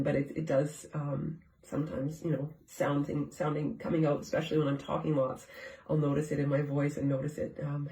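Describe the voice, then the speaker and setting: A congested, stuffed-up woman hosts a casual live stream from her home kitchen, chatting with viewers and firing questions at them. Her voice sits at a middling pitch and comes across from some distance. The room around her rings with a noticeable echo. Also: warm tone. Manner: conversational, keeps asking viewers questions. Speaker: host of a live nutrition show. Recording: echoey room, far from the mic.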